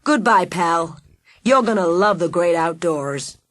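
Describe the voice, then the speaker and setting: A soft-hearted henchman, weighed down by guilt and hesitant in every word, as a woman reader puts him on. The sound is dry and close. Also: fairly low pitch, animated, unhurried, dry recording. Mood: apologetic. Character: reluctant, remorseful male henchman.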